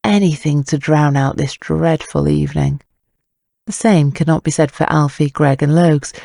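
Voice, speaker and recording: Guy narrating an audio drama, wry n wordy, dark relaxed voice w lots of vocal fry. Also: a little high, dry recording, clean studio sound.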